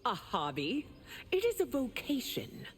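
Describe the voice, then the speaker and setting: An actress voicing a proud, haughty warrior empress in a fighting game, imperious and measured. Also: female voice, fairly high pitch, huge pitch swings, in a large hall, a little hiss or hum.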